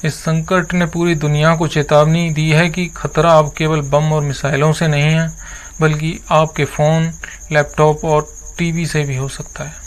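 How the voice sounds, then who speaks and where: A man's voice: fairly high pitch, little pitch movement, a little slow. A man presenting scripted news as a narrator, with a formal, earnest delivery.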